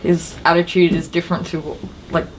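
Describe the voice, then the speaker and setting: Lowish-voiced woman chatting on a podcast. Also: little pitch movement, normal speed, low-quality recording. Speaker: podcast co-host. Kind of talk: casual chat. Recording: faint room noise behind voice.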